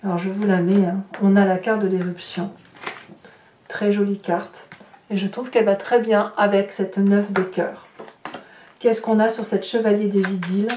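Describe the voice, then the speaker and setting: A woman's voice: mid-range voice, little pitch movement, moderate pace, mellow. A woman giving an informal tarot reading to viewers, chatting and thinking aloud as she handles the cards. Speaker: tarot reader and channel host. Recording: roomy, distant mic with light noise.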